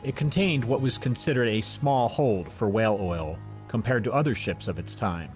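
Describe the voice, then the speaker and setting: A man's voice: mid-range voice, moderate pace. A man reading scripted documentary narration as the voice of an audio encyclopedia. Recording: music under the narration. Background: background music.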